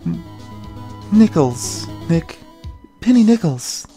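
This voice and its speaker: A woman's voice: lowish voice, big pitch swings, slow, mellow, soft background music. A woman voicing a timid, concerned young female assistant in video game dialogue. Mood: timid, wary. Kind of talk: reading game dialogue aloud.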